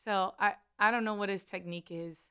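Smooth-voiced woman, friendly musician guest. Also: medium pitch, fairly even intonation, a little fast, a bit bright. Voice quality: slight grit in the voice. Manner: friendly and rambling, with frequent laughs. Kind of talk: casual interview chat. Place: radio studio.

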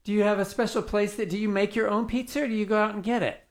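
A woman's voice: mid-range voice, steady tone, fast talker. A woman hosting an interview, chatty and teasing, prone to side tangents. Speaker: radio host. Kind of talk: casual interview.

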